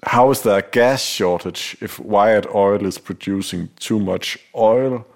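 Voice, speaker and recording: Danish man, low voice, laid-back podcast co-host with a dry, wry humor. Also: measured pace, gravelly. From Denmark. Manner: dry, wry, laughs often. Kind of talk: casual chat, reading book passages aloud.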